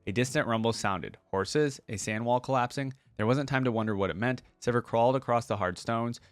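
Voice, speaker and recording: A man's voice: medium pitch, fairly quick, no echo. A man hosting a reaction video, reading stories aloud and commenting in a laid-back, colloquial fan style. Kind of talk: casual commentary and story reading.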